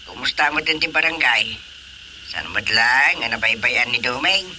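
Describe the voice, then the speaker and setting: A man's voice: mid-range voice, animated, normal speed, pushed and bright, a little hiss or hum. A man with an Ilocano accent playing a jovial, playful village husband in a radio drama. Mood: easygoing.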